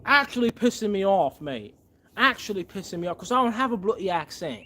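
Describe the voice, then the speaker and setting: A man's voice: high voice, sing-song, brisk, pushed and bright, slight background noise, voice pushed down, exaggerated voice. A man putting on a mock British accent with exaggerated, huffy indignation. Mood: mock indignant.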